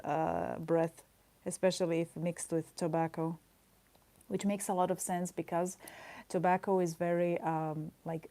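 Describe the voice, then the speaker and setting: A woman with a slightly low, soft and mellow voice chats casually on a livestream while she draws. She wanders from thought to thought, laughs easily and playfully scolds her viewers with mock outrage. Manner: playful, mock-indignant teasing of chat; rambling, chatty asides with frequent laughs. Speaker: livestreaming artist.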